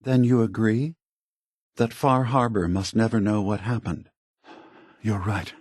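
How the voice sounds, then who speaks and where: Video game android leader: weary, solemn, measured delivery, soft husky low male voice, dry recording. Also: fairly even intonation, no echo. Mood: pleading, remorseful. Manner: measured, grave, deliberate.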